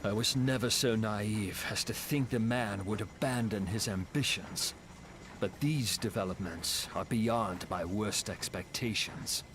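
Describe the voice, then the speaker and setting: An actor playing a stern, battle-weary and exasperated ally in a fantasy video game. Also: male voice, fairly low pitch, measured pace, background noise, lightly acted. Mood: grim. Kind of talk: fantasy game dialogue acting.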